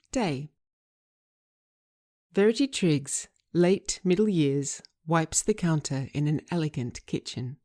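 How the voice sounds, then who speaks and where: A woman reading scripted screenplay narration and stage directions in a measured, deliberate manner. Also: low voice, dark tone. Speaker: writer reading own script.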